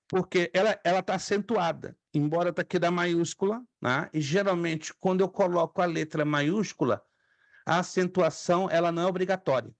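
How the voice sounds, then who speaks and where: A middle-aged man whose first languages are Spanish and Hebrew leads a class, repeating each word so students can echo it. His voice sits fairly high for a man. The sound is close and dry, with no room around it. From Brazil. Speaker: theology teacher. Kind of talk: online class lecture.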